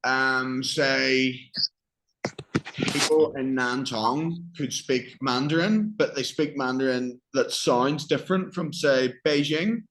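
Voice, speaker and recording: A man with a Northern Irish accent talks a student through English vocabulary, pausing to give examples and ask questions. His pace is slow and unhurried, and his voice sits at a middling pitch. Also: a bit bright, poor audio. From Northern Ireland. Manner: patient, explains slowly with examples and questions. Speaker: English teacher. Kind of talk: one-on-one English lesson.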